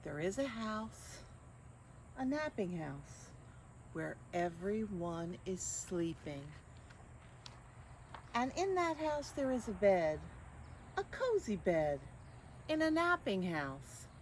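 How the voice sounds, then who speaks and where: An older woman reading picture books aloud to young children, expressively, with drawn-out phrases and questions to her listeners. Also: mid-range voice, sing-song, slight background noise. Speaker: children's storyteller.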